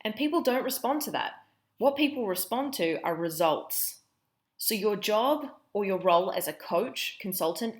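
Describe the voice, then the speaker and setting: Upbeat New Zealand woman coach. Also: mid-range voice. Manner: upbeat, enthusiastic, many fillers. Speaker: business coach. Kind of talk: webinar presentation.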